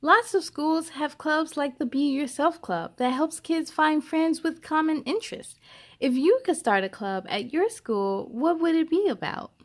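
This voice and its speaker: A woman's voice: sits high, lively intonation, a little slow. A woman hosting a children's story time, reading aloud expressively with chatty asides and speaking encouragingly straight to young listeners.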